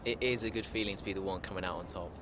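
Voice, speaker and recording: An actor playing a polite, guarded young racing driver answering interview questions in a video game. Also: male voice, low voice, rapid-fire, background noise, close to an everyday voice. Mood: modest, grateful. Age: young adult.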